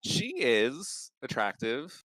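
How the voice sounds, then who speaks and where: A man's voice: mid-range voice, sing-song, slow, a bit bright, dry recording. A man chatting casually on a livestream. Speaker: live streamer.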